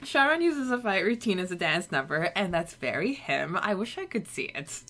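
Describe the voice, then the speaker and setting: A woman's voice: lowish voice, sing-song, moderate pace, fairly bright tone. A woman co-hosting a podcast, chatting casually about a story chapter and laughing often. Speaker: podcast co-host. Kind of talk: casual book-club chat.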